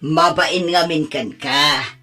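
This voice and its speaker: A woman's voice: sits low, takes it slowly, bright, forceful tone, heavily acted. A woman voicing a worried elderly mother speaking of her sick son in an audio drama. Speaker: mother-in-law of the husband's wife.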